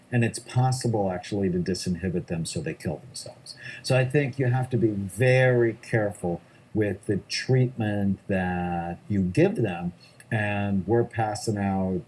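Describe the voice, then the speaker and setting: A man's voice: lowish voice, a little mellow, a little hiss or hum, rough recording. A middle-aged man, a psychiatrist, answering interview questions in an emphatic way, often stressing his points in short lists. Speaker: psychiatrist guest.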